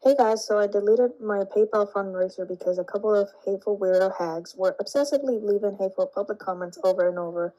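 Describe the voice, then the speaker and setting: A woman's voice: medium pitch, quick pace, few pauses, dark tone, highs cut off. A congested woman talking bluntly and dismissively in a casual vlog.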